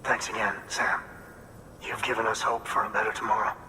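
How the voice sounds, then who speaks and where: A hopeful farmer-scientist. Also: male voice, fairly low pitch, flat delivery, brisk, sharp tone, long reverb tail, a little hiss or hum, low-quality recording. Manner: earnest, hopeful. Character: hopeful middle-aged male farmer-scientist. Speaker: farmer and researcher. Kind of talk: video game voice acting.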